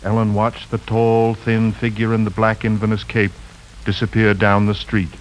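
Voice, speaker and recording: A man narrating an old radio suspense drama gravely, with dramatic pauses. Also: fairly low pitch, monotone, lightly acted. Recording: noisy vintage radio recording.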